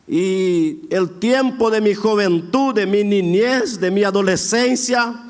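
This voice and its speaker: High-pitched preacher, man with Brazilian-tinged Spanish accent. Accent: Brazilian-influenced border Argentine Spanish. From border area between Argentina and Brazil. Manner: emphatic, drawn-out exhortation. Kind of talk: Pentecostal sermon. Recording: echoey hall with long reverb.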